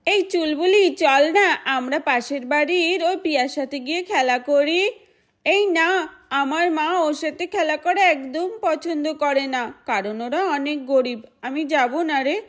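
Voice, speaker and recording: Eager little village girl woman performer, high childlike chatter for a puppet-doll tale. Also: takes it slowly. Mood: chatty.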